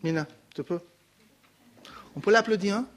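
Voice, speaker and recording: Halting Persian-accented man, slightly high voice. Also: very noisy. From Iran. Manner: halting, searching for words, many restarts. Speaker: missionary giving testimony. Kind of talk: personal testimony to a congregation. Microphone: handheld microphone.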